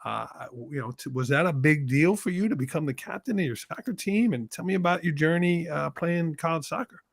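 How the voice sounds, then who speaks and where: A man's voice: a little high, expressive, fairly quick, vocal fry, no room sound, studio quality. A man hosting a podcast interview, upbeat and full of praise for his guest. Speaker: podcast host.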